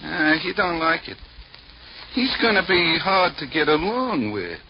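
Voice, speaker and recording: An actor playing a dim, obedient gangster henchman, deadpan and slangy, in a 1940s radio crime drama. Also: fairly high pitch, measured pace. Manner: slangy tough-guy talk, deadpan. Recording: noisy, dull vintage radio recording.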